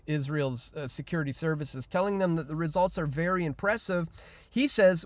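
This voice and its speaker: Higher-pitched man giving news commentary. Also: no echo. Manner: conversational, emphatic, repeats phrases. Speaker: host of an independent online news show. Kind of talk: opinionated news commentary, partly off the cuff.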